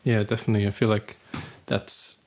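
A man's voice: on the low side, little pitch movement, brisk, darkish tone. A man working as an interviewer, speaking casually in a polite, hesitant way.

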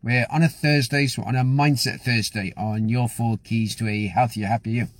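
Man, husky voice, dry close sound, upbeat motivational monologue. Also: medium pitch, steady tone, moderate pace, no echo. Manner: upbeat, rambling with frequent restarts. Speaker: host of a motivational show. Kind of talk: casual motivational talk to camera.

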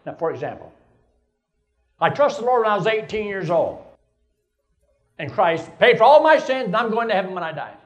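Older male pastor, 70s, high-pitched voice, emphatic sermon delivery. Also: big pitch swings, normal speed, long pauses, lo-fi sound. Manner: preaching with rising emphasis, rhetorical questions.

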